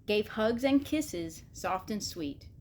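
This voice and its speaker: A woman reading a children's storybook as expressive scripted narration, deliberately, pausing between short phrases. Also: mid-range voice, slight background noise. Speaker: storybook reader.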